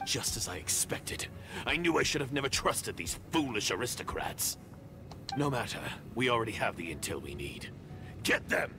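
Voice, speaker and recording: An actor playing a cold, shrewd foreign agent in a video game, with a measured, dismissive and formal manner. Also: male voice, mid-range voice, big pitch swings, vocal fry. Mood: scornful. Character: cold, shrewd, condescending covert foreign agent, male villain. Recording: game audio with music behind.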